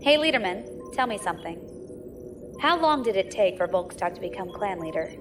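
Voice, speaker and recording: An actress playing a dry, commanding, ambitious space pirate captain in an audio drama. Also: female voice, mid-range voice, lively intonation, moderate pace, music in the background, natural voice.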